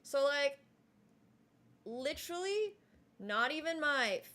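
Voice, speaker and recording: A young adult woman whose first language is Mandarin, chatting casually on a live stream and thanking viewers, with frequent "like" fillers and quick restarts. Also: sits high, very animated, a bit bright.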